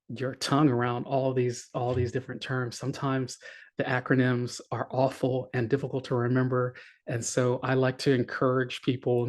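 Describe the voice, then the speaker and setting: A man giving a conference talk, his voice flat and even at a middle pitch. He talks in an earnest, encouraging way. He leans on fillers and often restarts his phrases. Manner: hesitant, many fillers and restarts. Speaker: conference speaker.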